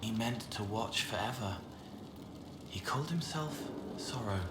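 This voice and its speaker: An actor playing a gentle, troubled young spirit in human form in a video game, with a halting, haunted delivery. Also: male voice, lowish voice, animated. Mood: haunted, sorrowful. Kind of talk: video game dialogue acting. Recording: echoey, with clear background noise.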